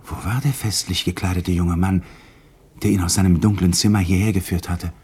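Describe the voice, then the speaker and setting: A man's voice: low-pitched, steady tone, brisk, darkish tone, breathy edge. A man giving scripted storytelling narration as the narrator of a 1960s radio play.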